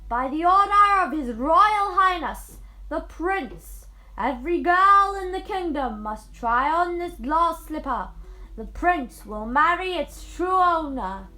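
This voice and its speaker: A woman's voice: high voice, lively intonation, takes it slowly, sharp tone, voice pushed up, exaggerated voice, rough recording. A female reader voicing a dutiful male royal messenger in a storybook, proclaiming politely and firmly. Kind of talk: storybook character voice.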